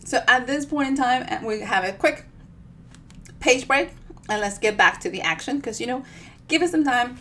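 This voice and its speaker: A woman giving an expressive storytime reading of their own fiction, with chatty, informal asides. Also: a little high, moderate pace, slight background noise. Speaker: author reading their own novel on a live show.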